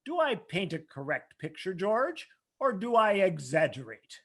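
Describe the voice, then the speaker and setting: A man's voice: high-pitched, sing-song, unhurried, a bit bright, heavily acted. An actor playing a domineering, scheming rich old businessman in an old-time radio play, imperious and condescending. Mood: smooth condescension.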